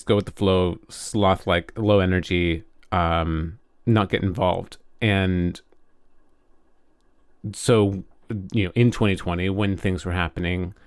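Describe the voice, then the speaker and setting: A man's voice: low-pitched, smooth, dry recording. An American man co-hosting a podcast in a casual chat, speaking frankly with the odd swear word. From United States. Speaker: podcast co-host.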